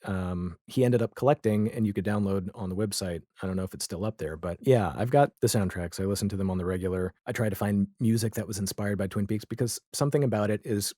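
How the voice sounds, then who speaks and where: A man speaking as a podcast guest in a casual, reflective conversation, with halting, thoughtful phrasing. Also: on the low side, mellow, dry recording, studio quality.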